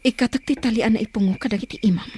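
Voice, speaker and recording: A woman's voice: a little high, rapid-fire, a little mellow, a little hiss or hum, lightly acted. Woman acting a secretive, dutiful chief's daughter. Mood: hushed, urgent. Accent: Filipino, Ilocano. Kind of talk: Ilocano radio drama acting.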